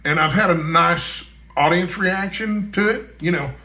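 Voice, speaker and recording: A man's voice: high voice, unhurried, bright, forceful tone. A middle-aged man chatting casually to viewers as a book vlogger, with conversational asides, recorded in a roomy space. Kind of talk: casual chat to camera, answering a list of book questions. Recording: roomy, echoey sound.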